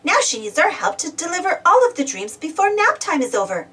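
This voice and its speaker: Female storybook reader, high voice, very lively intonation, exclamatory, questioning young listeners. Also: a little fast, far from the mic. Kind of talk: animated children's read-aloud narration.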